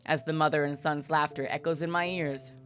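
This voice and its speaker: Female game streamer, slightly low voice, candid and profane, narrating and commenting over light music. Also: fairly even intonation, a little fast. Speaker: livestreamer playing and reading aloud a visual novel. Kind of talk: gaming livestream with read-aloud and casual commentary. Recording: background music under the voice.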